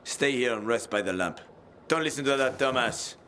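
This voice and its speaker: An actor playing a brash, excitable young scavenger who curses and shouts in a game cutscene. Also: male voice, mid-range voice, normal speed, natural voice. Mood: wry. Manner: loud, cursing, excitable. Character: brash reckless male scavenger, young adult. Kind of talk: video game cutscene voice acting. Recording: game cutscene with loud noise.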